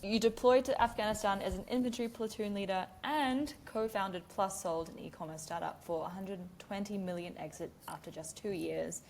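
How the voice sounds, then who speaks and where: A woman's voice: mid-range voice, steady tone, normal speed, few pauses, darkish tone, a little hiss or hum. A woman from New Zealand with an Australian accent, hosting a casual interview and laughing often between questions. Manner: giggly, chatty, lots of fillers. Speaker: podcast host.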